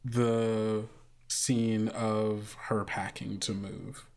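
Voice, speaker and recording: A man's voice: on the low side, little pitch movement, extremely slow, a little mellow. A man leading scenes as a player on an actual play podcast, talking casually and improvising. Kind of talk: casual improvised tabletop roleplay.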